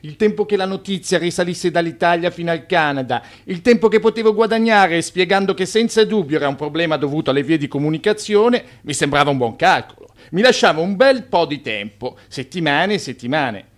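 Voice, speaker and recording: Actor as a slippery banker, very animated. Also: male voice, a little high, fairly quick, hardly any pauses, natural voice. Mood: hurried, rueful. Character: shrewd, slippery Italian immigrant banker and boss. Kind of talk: radio drama acting.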